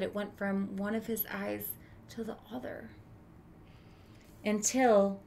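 A woman's voice: on the high side, a bit bright. A woman in her thirties reading a children's storybook aloud expressively, adding asides and questions for young listeners. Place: small room with bookshelf, indoors.

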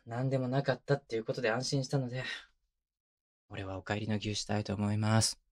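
A man playing a tender young boyfriend who teases and mock-scolds in casual, rough-edged speech, in an intimate binaural ASMR audio drama. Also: fairly even intonation, a little fast, lightly acted. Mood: tender soothing. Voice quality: medium-low voice. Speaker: voice actor. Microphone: close binaural microphone.

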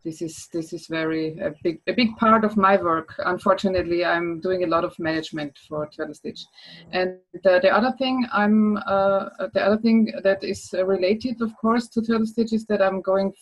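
A woman's voice: mid-range voice, low-quality recording. Hesitant woman on a video call. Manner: hesitant, many fillers and restarts. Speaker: educator sharing her project. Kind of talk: casual video-call talk. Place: home room with bookshelves. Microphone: laptop webcam mic on a video call.

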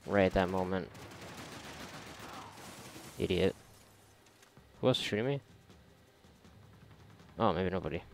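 A man giving casual, reactive commentary while gaming, sarcastic and foul-mouthed, with a gravelly voice. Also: low-pitched, takes it slowly, pauses often. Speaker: gamer recording a playthrough. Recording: noisy, game gunfire behind voice. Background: video game gunfire and ambience.

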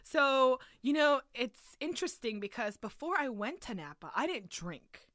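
A woman in her thirties chatting casually as an interview guest, retelling things in an animated way with quoted asides. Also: high-pitched, moderate pace, dry recording, clean studio sound. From South Korea and Los Angeles area. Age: 30s. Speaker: podcast guest, museum educator.

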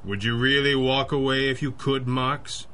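Guy doing masked pulp hero man, formal n commanding, bright forceful voice, radio play. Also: mid-range voice, little pitch movement, normal speed, lightly acted. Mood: stern, calculating. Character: commanding, solemn masked male crime fighter. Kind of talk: 1930s-style radio drama acting.

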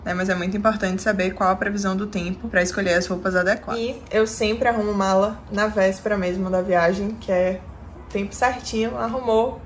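A woman's voice: medium pitch, slight background noise. Chatty young woman vlogging. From Bahia, Brazil. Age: 20s. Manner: chatty, explains step by step. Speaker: lifestyle vlogger. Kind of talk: casual vlog chat. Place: small bedroom indoors. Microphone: phone camera at arm's length.

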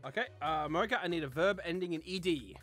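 A woman's voice: fairly low pitch, fast, fairly bright tone, soft background music. A woman hosting a casual word game with friends, bantering as she goes. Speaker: host. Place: online voice call.

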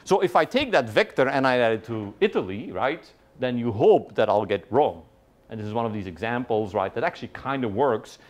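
Animated middle-aged man lecturing. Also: mid-range voice, a little fast. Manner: animated, peppered with "right?", quizzes the audience. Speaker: guest lecturer. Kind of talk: university lecture. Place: large lecture hall with audience.

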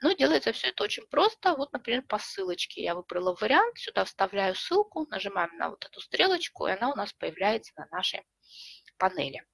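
A middle-aged woman presenting an online webinar from home, explaining a tool step by step in a friendly way with frequent pauses and fillers, a slight cough lingering. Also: mid-range voice, very animated, gravelly, fairly bright tone, breathy edge. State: recovering from a cough. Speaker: webinar presenter, librarian and teacher. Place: home room with bookshelves. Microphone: webcam video call.

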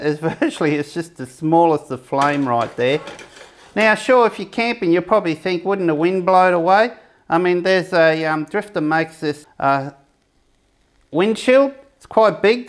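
A man giving a casual, rambling cooking demonstration for his camping review channel, often restarting his sentences. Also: on the high side. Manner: chatty, rambling, self-correcting.

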